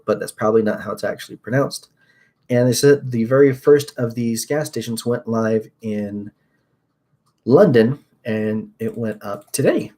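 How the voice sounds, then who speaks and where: A man's voice: mid-range voice, darkish tone. A young man hosting a casual tech news livestream from a small room at his desk. He rambles upbeat with lots of fillers. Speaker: host.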